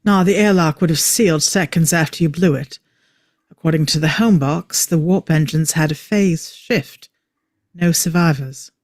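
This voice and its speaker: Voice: medium pitch, moderate pace, no echo, clean studio sound, close to an everyday voice. An actor playing an exasperated, guarded male time traveller in a script table read, with a curt delivery. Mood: matter-of-fact.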